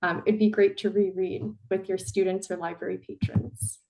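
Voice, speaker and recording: A woman's voice: mid-range voice, little pitch movement, normal speed, vocal fry, mellow, low-quality recording. A woman hosting an interview who introduces the questions in a friendly, plain way. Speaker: interviewer, host.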